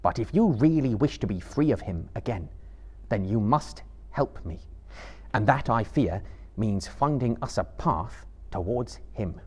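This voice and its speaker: A man voicing a grave young hero who speaks quietly and sternly. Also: mid-range voice, expressive, a little slow, breathy edge. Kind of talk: audiobook character voice.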